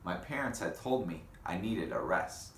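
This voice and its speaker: A man teaching young children in a read-aloud lesson, speaking in simple, deliberate phrases and putting questions to them. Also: low voice, very animated. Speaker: elementary school teacher. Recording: echoey room with faint background noise.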